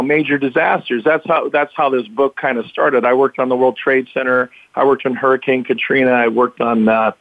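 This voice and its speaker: A man with a slightly raspy, hoarse voice, speaking as an interview guest in an earnest, open way with halting bursts. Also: mid-range voice, no room sound. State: recovering from illness, slightly hoarse. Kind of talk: remote interview. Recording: tinny phone-line audio, dry.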